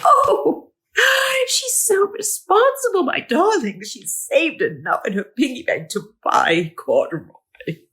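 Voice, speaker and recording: Woman storybook reader, theatrical, swooping intonation, slow delivery, exclamatory with hushed asides. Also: high-pitched, sing-song. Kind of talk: theatrical children's storybook narration.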